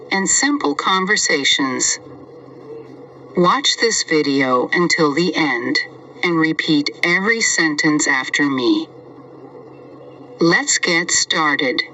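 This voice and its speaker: A man's voice: fairly high pitch, pushed and bright, distant mic, lo-fi sound, slight background noise. A man teaching a beginners' English lesson, reading numbered sentences and saying each one twice. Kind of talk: slow scripted language lesson with repetition.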